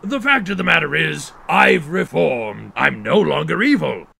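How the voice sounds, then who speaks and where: A bombastic villainous mad scientist from a cartoon. The voice is pitched high for a man and swings widely. He savors his words with theatrical pomposity over a gravelly rasp. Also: sing-song, a little hiss or hum, heavily acted. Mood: boastful. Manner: theatrical, pompous, drawn-out delivery. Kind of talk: comedic cartoon parody voice acting. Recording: loud music behind.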